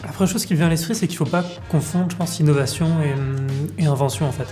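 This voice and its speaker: Male interviewee, warm dark tone, fast explanatory delivery, light music bed. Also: fairly high pitch.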